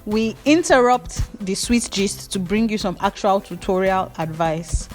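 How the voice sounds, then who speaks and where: A young Nigerian woman with a Nigerian accent, a hair tutorial vlogger, mixes slow step-by-step instructions with playful, gossipy storytelling. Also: mid-range voice, faint music behind. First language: Yoruba. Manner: animated, playful, jumps between slow instructions and gossipy asides.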